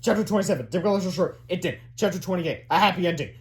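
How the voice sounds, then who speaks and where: A man reading a children's book aloud as an expressive storyteller. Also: high voice, very fast. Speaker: read-aloud reader.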